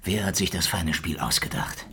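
An actor playing a cynical, weary secret police investigator in a hardboiled radio drama, dry and laconic. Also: male voice, sits low, animated, moderate pace, a bit bright, husky, heavily acted. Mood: stunned, subdued.

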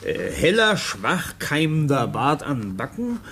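An actor playing a brusque, impatient, suspicious police official in a 1950s German radio drama. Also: male voice, on the high side, big pitch swings, takes it slowly, fairly bright tone, full character voice. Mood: officious, dictating. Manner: hesitant filler-laden dictation, barking orders. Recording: noisy 1950s radio play recording.

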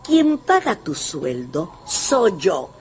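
Radio drama role: haughty old matriarch, mocking and bossy, slow, very animated. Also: female voice, lowish voice, a little hiss or hum, heavily acted. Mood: bossy, brisk. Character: imperious, scornful old Russian grandmother, rich and sharp-tongued.

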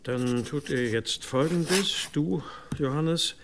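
An actor playing a stern older abbot in an audio drama, speaking gravely and deliberately with commanding authority. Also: male voice, on the high side, background noise. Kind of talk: German audio drama acting.

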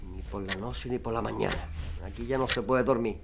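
A man playing a gruff, contemptuous nationalist husband in a wartime radio drama. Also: on the low side, brisk, heavy background noise. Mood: scornful. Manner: grumbling, contemptuous.

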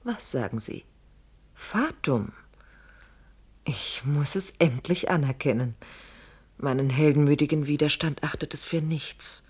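An actress in a 1970s German radio play, playing a fatalistic, secretive wife in a deliberate, melodramatic way with a husky, breathy voice. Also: female voice, low-pitched, expressive, darkish tone, heavily acted. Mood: resigned, dramatic.

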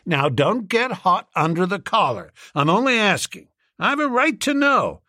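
Guy doing a pushy suspicious stout businessman from america, high voice that jumps around, dry close sound. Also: sing-song, a little slow, bright, forceful tone, no echo, exaggerated voice. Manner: brash, insistent.

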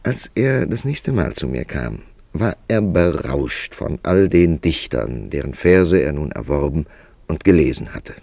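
An actor playing an urbane, sceptical male writer who narrates and questions in a measured, wry way in a radio play. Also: extremely low pitch, big pitch swings, vocal fry, a little mellow, dry recording, exaggerated voice. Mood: wry, wondering.

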